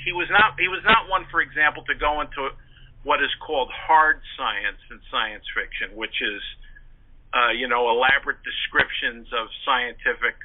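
Male interview guest, medium pitch, phone-band audio. Articulate, discursive storyteller with wordy phrasing. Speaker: interview guest, pulp fiction historian. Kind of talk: conversational interview, telling anecdotes. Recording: band-limited phone-line sound.

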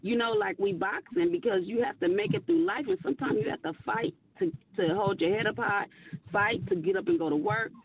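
A hoarse woman co-hosting a casual radio talk show, earnest and animated, laughing often. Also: a little high, a little hiss or hum. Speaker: co-host. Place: radio studio. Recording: tinny phone-like radio audio.

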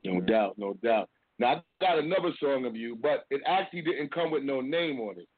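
A man's voice: medium pitch, lively intonation, a little fast, dry recording. An American man hosting a casual hip hop radio interview, slangy and given to repeating his phrases. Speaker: radio show host. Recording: narrow phone-like radio sound, dry.

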